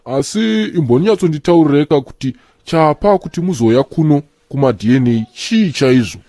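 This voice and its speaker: A man's voice: on the high side, expressive, normal speed, dark tone, dry recording. A man with a Zimbabwean accent playing a polite, hesitant clinic official who mediates in a comedy cartoon. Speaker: presenter of test results. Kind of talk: comedy cartoon acting, formal interview manner.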